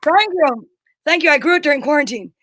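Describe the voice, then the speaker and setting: A woman's voice: high voice, lively intonation, brisk, fairly bright tone. An upbeat, enthusiastic woman chatting casually as co-host of a live online comedy show.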